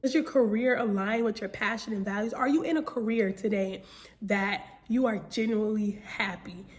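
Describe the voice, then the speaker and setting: A woman's voice: medium pitch, moderate pace. A young adult woman giving an informal, earnest motivational talk, addressing listeners directly with repeated phrases and rhetorical questions. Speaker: motivational speaker.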